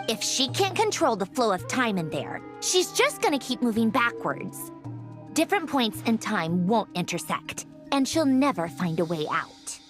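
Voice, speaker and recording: A woman's voice: fairly high pitch, a bit bright, exaggerated voice. A woman voicing a proud, impatient young woman researcher in a game, snippy and dismissive. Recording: music behind the voice.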